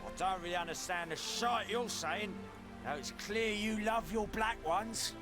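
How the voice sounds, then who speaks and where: A man's voice: high voice, unhurried, over music. An actor playing a belligerent, drunken male thug, sneering and defiant, in a video game. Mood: sneering, hostile. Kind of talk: video game villain acting.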